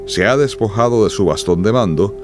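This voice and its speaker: A man giving solemn, measured, dramatic scripted narration for a radio drama. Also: lowish voice, music in the background.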